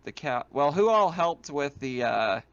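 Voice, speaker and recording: A man's voice: a little high, very animated, a little slow, lo-fi sound, a crowd in the background. A man acting as game master, narrating scenes and fielding questions in an improvised, conversational way. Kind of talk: narrating a tabletop roleplaying game.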